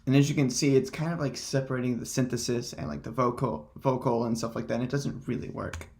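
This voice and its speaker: A man presenting a casual software tutorial in hesitant, halting, unscripted phrasing. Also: medium pitch, fairly even intonation.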